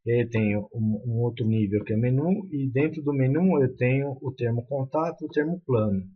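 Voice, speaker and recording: A man's voice: mid-range voice, normal speed, phone-quality sound, faint music behind. A man with a Brazilian accent explaining a coding tutorial step by step, with frequent fillers and restarts. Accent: Brazilian Portuguese. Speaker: programming tutor.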